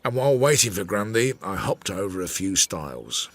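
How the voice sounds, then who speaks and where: Low, animated detective narrator. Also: male voice, normal speed, heavily acted. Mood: wry, musing. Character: reflective male police detective, wry, methodical narrator. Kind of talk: scripted first-person radio drama narration.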